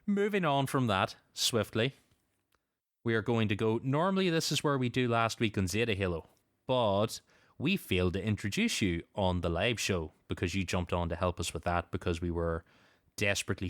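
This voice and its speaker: Man, podcast host, deep register, clean smooth tone, dry sound, conversational announcements. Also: expressive, normal speed, a bit bright, no room sound. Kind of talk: podcast intro and casual chat.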